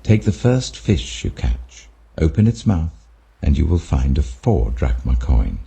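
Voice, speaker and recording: Man reading as Jesus, deep, grave teacher. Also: very animated, creaky, dark tone, slightly rough, exaggerated voice. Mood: patient, instructive. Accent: British. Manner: deliberate, emphatic. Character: Jesus, authoritative male teacher, grave and earnest.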